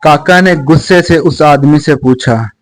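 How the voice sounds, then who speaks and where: Slow, dry-sounding male story narrator. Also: a little high, dry recording. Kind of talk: scripted Hindi children's story narration.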